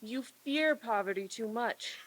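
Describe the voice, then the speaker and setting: Woman playing a young sweetheart, slightly high voice, tender, earnest, expressive, radio play. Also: measured pace, natural voice, rough recording. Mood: sorrowful. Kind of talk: old-time radio stage reading.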